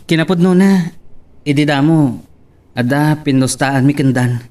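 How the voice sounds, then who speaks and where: A man's voice: on the high side, measured pace, darkish tone, distant mic, lightly acted. A man with an Ilocano accent playing a young small-time rice trader in a romantic audio drama. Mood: earnest. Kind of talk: Ilocano radio-style love drama acting.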